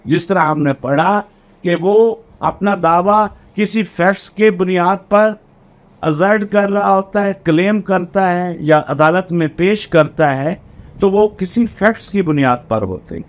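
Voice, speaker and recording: A man with a Pakistani accent giving an explanatory lecture as a teacher, deliberate, repeating and rephrasing key phrases. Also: high voice. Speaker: law lecturer. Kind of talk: explanatory exam lecture, code-switching between Urdu and English legal terms.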